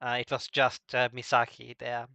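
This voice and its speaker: Hesitant, stammering man with a bright voice. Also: medium pitch, monotone, moderate pace, vocal fry, dry recording, dull audio. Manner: very hesitant, stammering with repeated word starts. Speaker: host of a visual novel talk stream. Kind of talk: casual chat.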